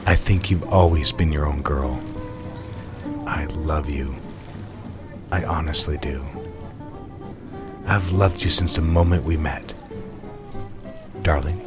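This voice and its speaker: A man playing a devoted but guarded new husband in a period audio drama, speaking with formal, courteous, measured endearments. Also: very deep voice, over music. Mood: tender, regretful.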